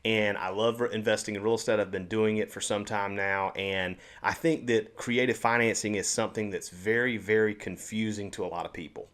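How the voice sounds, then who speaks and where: This is a man with a somewhat low voice, talking casually to camera. His delivery is upbeat and salesy, with repeated words and restarted sentences. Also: a bit bright. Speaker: real estate investor and educator.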